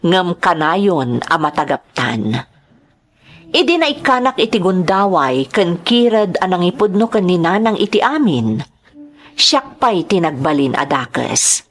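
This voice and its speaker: A woman playing a wronged daughter, narrating her life story in a measured, confessional way for an audio drama. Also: lowish voice, sing-song. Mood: sorrowful, reflective. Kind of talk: first-person scripted drama narration.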